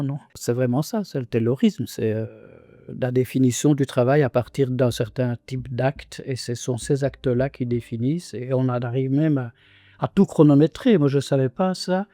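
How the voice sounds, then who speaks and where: An older man in his sixties talks in a halting, earnest way, with frequent restarts and hesitations. His voice is mellow and warm at a middle pitch, recorded close and dry with no room sound. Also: fairly even intonation, no room sound. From Congo. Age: 60s. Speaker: interviewee. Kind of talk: reflective conversational testimony.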